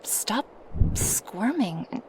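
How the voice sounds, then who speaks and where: A woman's voice: medium pitch, sing-song, extremely slow, darkish tone, slight background noise. A woman voicing a huge, proud dragoness in an ASMR roleplay, speaking formally to her human, teasing yet protective, at times whispery. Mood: startled, apologetic. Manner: slightly formal phrasing, teasing, reassuring. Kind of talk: ASMR roleplay monologue addressed to the listener.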